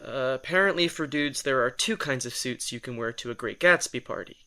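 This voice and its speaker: A man's voice: medium pitch, brisk, bright, forceful tone. A man acting a reluctant vampire in an audio drama, a sardonic, put-upon office worker who tells things in a wry, hesitant, self-deprecating way. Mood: wry, embarrassed.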